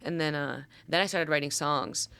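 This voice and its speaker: Female podcast guest, twenties, American, smooth clear voice in a dry recording, casual rambling storyteller. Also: deep voice, moderate pace, no room sound. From Detroit, Michigan, USA. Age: 20s. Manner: informal, rambling, animated storytelling with quoted speech. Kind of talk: casual chat.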